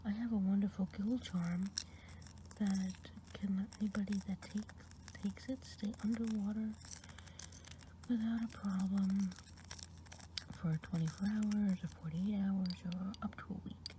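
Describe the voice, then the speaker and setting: Lady doing a gentle good witch healer, whispering soft and slow, asmr style, little bit of hiss behind. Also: mid-range voice, fairly even intonation, lightly acted, poor audio. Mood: soothing. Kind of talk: ASMR roleplay.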